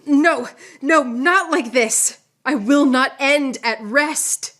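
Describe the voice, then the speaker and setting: A proud, dying swordswoman poet in a radio play. Her voice sits fairly high and she speaks very slowly. The delivery is lofty and theatrical, full of wit and wounded defiance. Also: a bit bright. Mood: defiant.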